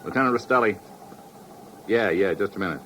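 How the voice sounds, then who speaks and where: An actor playing a gruff, impatient big-city police lieutenant in a 1950s radio drama. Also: male voice, lowish voice, fairly quick, natural voice. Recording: noisy old radio recording.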